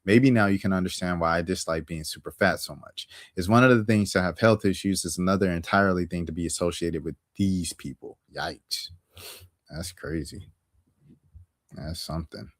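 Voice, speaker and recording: A young man with a very deep voice reacts to clips on a stream. He talks in a laid-back, joking way, full of slang and shout-outs. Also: normal speed. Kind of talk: casual reaction commentary.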